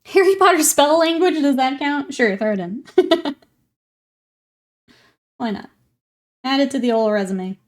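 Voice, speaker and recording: A woman's voice: high-pitched, unhurried, long pauses. A young woman streaming live while painting miniatures, chatting casually and reading viewer comments aloud as she works. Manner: chatty and easygoing, thinking aloud while working.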